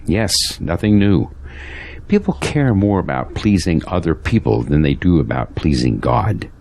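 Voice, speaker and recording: Very deep-voiced, earnest pastor played by a man. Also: sing-song, a little slow, gravelly, darkish tone, slight background noise, full character voice. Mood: grave, disapproving. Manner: earnest, preachy. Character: devout, troubled male pastor, earnest and convicted. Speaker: actor in an audio drama. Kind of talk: earnest audio drama dialogue.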